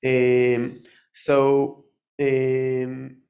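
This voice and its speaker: Gravelly man with Israeli accent. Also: mid-range voice, completely flat delivery, a little mellow. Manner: halting, many fillers and restarts. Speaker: tech presenter. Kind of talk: live conference talk with demo.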